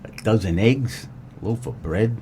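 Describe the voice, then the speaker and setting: A man playing a wry, deadpan, world-weary private eye in a comedic noir radio play. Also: fairly low pitch, a little slow, slight background noise, lightly acted. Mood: deadpan narrating. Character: wisecracking world-weary private detective, grown son of a crook.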